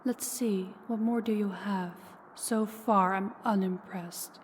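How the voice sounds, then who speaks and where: A woman playing an ancient, powerful vampire in an audio roleplay, slow, deliberate and condescending, with calm menace toward the listener. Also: mid-range voice, natural voice. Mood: mocking, unimpressed. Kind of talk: intimate scripted audio roleplay addressed to listener. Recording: long hall reverb, faint background noise.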